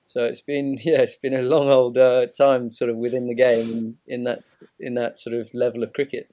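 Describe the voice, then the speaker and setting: A man in his thirties from Zimbabwe talks as a podcast guest. His voice is mid-pitched and recorded very dry. He tells his story in a casual, easygoing, conversational way. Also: little pitch movement, moderate pace, warm tone, no echo. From Zimbabwe, moved to England as a child. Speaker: podcast guest, ex-professional cricketer.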